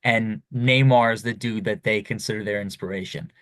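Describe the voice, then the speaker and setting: A man's voice: lowish voice, fairly even intonation, normal speed, a bit bright, dry recording. A young man hosting a podcast, asking long, loose questions with lots of you-knows. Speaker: podcast host. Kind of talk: casual interview chat.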